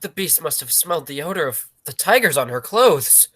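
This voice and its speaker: Forceful, animated woman as circus worker. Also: low-pitched, normal speed, breathy edge, exaggerated voice. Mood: grave. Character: excitable, urgent circus worker. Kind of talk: 1940s radio play acting.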